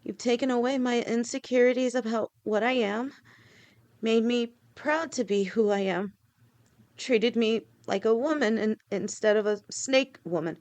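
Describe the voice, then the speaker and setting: A devoted young lamia woman with a snake's tail, played by a woman in an audio roleplay, speaking softly to the one she loves. Her voice sits a little high, and she takes her time, stammering now and then as the words come. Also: lightly acted. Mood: heartfelt. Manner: soft, halting, stammering. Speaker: girlfriend in a listener roleplay.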